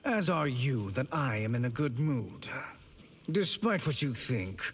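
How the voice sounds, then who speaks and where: Smug, slow-talking sorcerer villain. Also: male voice, medium pitch, big pitch swings, husky. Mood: smug, amused. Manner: smug, measured, mocking. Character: smug, silky scheming male sorcerer villain. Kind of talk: video game villain dialogue.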